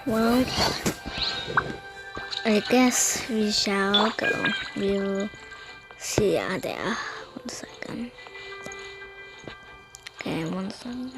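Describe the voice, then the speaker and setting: Casting: female amateur gamer, very slow offhand commentary, gravelly fry, loud game music. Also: mid-range voice, animated, low-quality recording. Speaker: gaming video creator playing along. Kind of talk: casual gameplay commentary. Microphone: amateur recording over mobile game.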